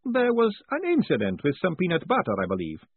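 A man voicing a cheerful, fussy male wizard professor who sounds high, bright and chatty. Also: huge pitch swings, a little fast, husky, no room sound, heavily acted. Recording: tinny phone-like audio.

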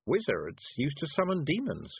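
Man voicing a high, composed learned witch. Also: wildly swooping pitch, normal speed, vocal fry, husky, no room sound, phone-quality sound, exaggerated voice. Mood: measured, considering. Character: composed, learned woman witch, dryly analytical. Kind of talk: audiobook character voice.

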